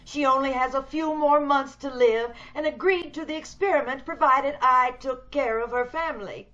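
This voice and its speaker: A dull, muffled recording of a woman acting a sinister radio-horror villainess. She speaks in a fairly high voice, measured and coolly polite, with menace beneath every courtesy as she schemes for beauty. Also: bright, forceful tone. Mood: smooth, scheming. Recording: dull video-call radio play recording.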